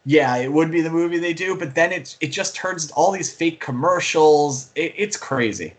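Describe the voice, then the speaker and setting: A man's voice: a little high, normal speed. A man co-hosting a podcast, talking casually about movies in an enthusiastic, rambling way. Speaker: podcast co-host and film fan.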